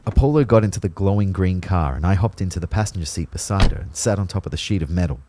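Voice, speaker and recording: A man's voice: low-pitched, animated, fast talker, background noise. An Australian man playing an exasperated young ghost who narrates his own audio drama. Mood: wry narrating. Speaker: actor narrating and playing the lead. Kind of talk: first-person audio drama narration and dialogue.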